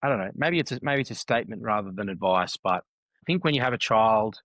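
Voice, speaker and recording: Australian man, 30s, low voice, reflective podcast guest, dry close mic. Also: expressive, fast talker, fairly bright tone, no echo. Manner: thoughtful, restarts phrases often, repeats points for emphasis. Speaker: podcast guest, husband and dad. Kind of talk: casual reflective chat.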